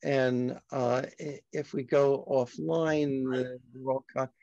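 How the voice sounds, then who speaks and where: An older man from Brooklyn, a native Yiddish speaker, hosting a reflective discussion in a halting, deliberate, frank way. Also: medium pitch, level tone, vocal fry, a little mellow, dry recording. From Brooklyn, New York. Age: older, about 70s. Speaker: discussion host. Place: dim home study, indoors. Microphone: computer on video call. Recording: muffled video call audio.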